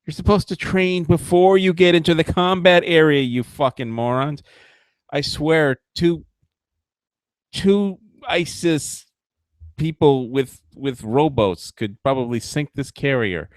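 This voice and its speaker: A man's voice: on the high side, slow, no room sound. A man hosting a livestream, rambling in casual commentary with frequent fillers, profane and mocking. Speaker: livestream host.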